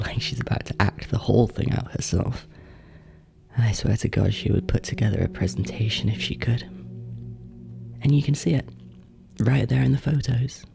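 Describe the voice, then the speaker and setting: A man playing a bitter, neglected older sibling in a horror monologue, hushed and confessional, often slipping into whispers. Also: medium pitch, vocal fry. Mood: bitter nostalgia.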